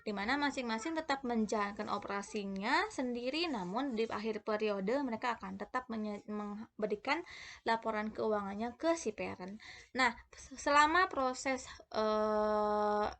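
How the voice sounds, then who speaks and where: A woman's voice: mid-range voice, fairly bright tone. A woman narrating an explanatory lesson as a lecturer, often hesitating and restarting words mid-way. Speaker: accounting lecturer.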